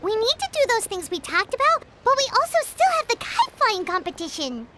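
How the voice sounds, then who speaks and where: A woman's voice: very high voice, very animated, fairly quick, very noisy. A woman voicing a small, cheerful female companion creature who talks eagerly in a game, with music underneath. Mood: bubbly. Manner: bubbly, eager, chatty. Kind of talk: game voice acting. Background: game background music.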